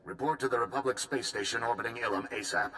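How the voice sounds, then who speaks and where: A man's voice: medium pitch, fast, pushed and bright, a little hiss or hum, close to an everyday voice. An actor playing a male supreme commander giving a formal, urgent mission briefing in a video game cutscene. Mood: earnest. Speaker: military commander.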